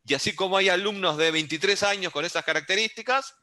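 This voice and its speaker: A man's voice: high voice, fast talker, sharp tone. A man in his fifties, from Uruguay, with a Buenos Aires Argentine accent, giving an online lecture over a video call in a conversational way and often checking in with his listeners. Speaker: guest lecturer.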